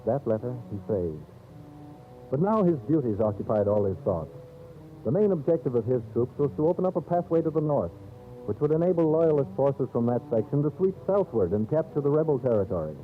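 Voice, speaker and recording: A man narrating a scripted 1930s radio drama in a solemn, theatrical, measured storyteller's manner. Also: mid-range voice, big pitch swings. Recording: old scratchy 1930s radio, narrow phone-like band. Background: dramatic background music.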